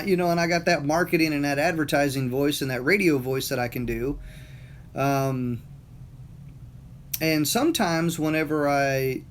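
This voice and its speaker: Rambling Southern man, slightly high voice. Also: a little hiss or hum. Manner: rambling, repeats words, lots of fillers. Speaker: motivational talker. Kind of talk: casual monologue.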